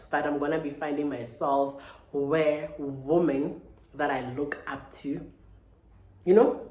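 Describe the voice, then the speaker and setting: A woman's voice: sits low, a little mellow. Earnest woman, roomy echo. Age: 30s. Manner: earnest, repeats "right?" and "you know" often. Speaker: vlogger. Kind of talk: casual motivational talk to camera.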